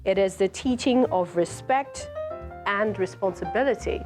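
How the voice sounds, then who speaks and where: A woman, an author reading from her book and commenting on it in an explanatory, measured way. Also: mid-range voice, a little mellow. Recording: music playing behind the voice. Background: music.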